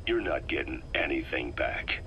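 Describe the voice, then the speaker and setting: A man acting a cold, sardonic military interrogator in a video game, deliberate, taunting and accusing. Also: a bass voice, expressive, bright, forceful tone, a little hiss or hum, heavily acted.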